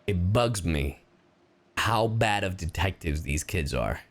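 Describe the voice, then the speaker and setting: A man livestreaming casual game commentary, excitable and reactive, swearing freely. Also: sits low, slow pace.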